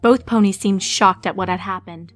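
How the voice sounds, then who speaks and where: A woman's voice: medium pitch, normal speed. A woman reading scripted fan fiction as a story narrator. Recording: music behind the voice.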